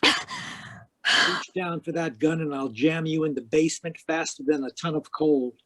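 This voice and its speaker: Man playing a higher-voiced, wisecracking hard-boiled private eye. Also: unhurried. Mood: tough, taunting. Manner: wisecracking, deadpan. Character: cynical hard-boiled male private detective. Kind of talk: 1940s radio noir acting and narration.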